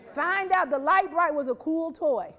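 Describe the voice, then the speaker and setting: A woman's voice: sits high, unhurried. A woman giving a presentation to an audience, rhetorical and repetitive, asking listeners questions and briefly voicing quoted people. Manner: rhetorical, repeats phrases, asks the audience questions; voices quoted people with lively shifts. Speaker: speaker on workplace diversity.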